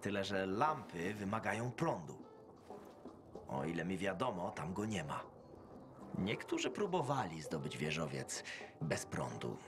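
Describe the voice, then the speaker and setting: An actor playing a flirtatious, vain, worldly schemer in a post-apocalyptic video game, smooth-talking, teasing and theatrical. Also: male voice, low voice, sing-song, unhurried, music in the background. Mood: probing, sardonic. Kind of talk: video game cutscene acting.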